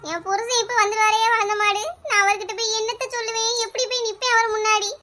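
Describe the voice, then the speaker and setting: A woman's voice: very high-pitched, flat delivery, pushed and bright. A woman playing a distraught village wife in a comic cartoon, lamenting melodramatically in a southern Tamil Nadu accent. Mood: despairing lament. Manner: wailing, drawn-out lamenting.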